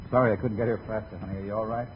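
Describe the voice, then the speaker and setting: An American actor playing a blunt, protective, menacing brother in a 1950s radio crime drama. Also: male voice, lowish voice, steady tone, rapid-fire, close to an everyday voice. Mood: grim, explaining. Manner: blunt, hard-boiled. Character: gruff, protective, menacing tough-guy brother. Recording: tinny, noisy old radio recording.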